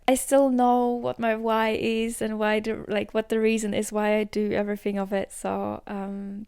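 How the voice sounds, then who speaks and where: A woman's voice: mid-range voice, gravelly, darkish tone, clean tone, dry recording, clean studio sound. Young woman musing in a rambling way. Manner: rambling, heavy use of "like", drops to whispers at times. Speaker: podcast host. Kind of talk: reflective solo podcast monologue.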